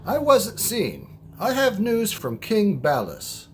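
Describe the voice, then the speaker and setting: A man playing a sly foreign messenger in an audio drama, with a husky voice. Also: high voice, wildly swooping pitch, slow pace, a little hiss or hum, full character voice. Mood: guarded, conspiring. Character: stealthy foreign messenger, a sly go-between in a plot.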